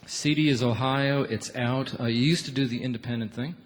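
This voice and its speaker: A man's voice: mid-range voice, steady tone, fairly quick, background noise. Casual man hosting a radio show. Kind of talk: live stage interview, casual chat. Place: on a theatre stage.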